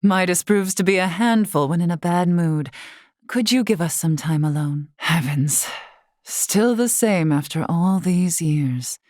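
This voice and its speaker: A woman playing a wise, courtly elder of a fantasy race in a video game, speaking formally and with measured, gently teasing poise. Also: fairly low pitch, dry recording, clean studio sound, lightly acted. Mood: fond, composed. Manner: formal, measured, archaic wording. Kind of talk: scripted game character dialogue.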